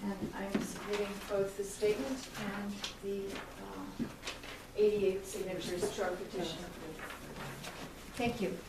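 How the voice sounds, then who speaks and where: A woman reading a formal prepared statement at a town board meeting, firm and deliberate as she lists her demands point by point. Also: mid-range voice, level tone. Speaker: resident reading a statement at public comment. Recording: echoey room from a distant mic, faint hiss.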